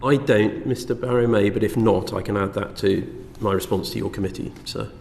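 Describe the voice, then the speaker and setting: A man's voice: lowish voice, fairly even intonation, moderate pace, creaky, darkish tone. Cautious, courteous man in an echoey hall. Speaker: government minister giving evidence. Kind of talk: formal evidence to a committee. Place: parliamentary committee room. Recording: echoey hall with faint noise.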